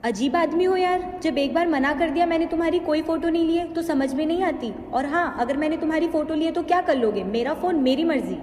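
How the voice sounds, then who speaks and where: A woman's voice: sits high, brisk, hardly any pauses, a little mellow, close to an everyday voice. An Indian woman acting a haughty, threatening young social media influencer in a drama. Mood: arrogant, threatening. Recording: echoey hall, faint noise.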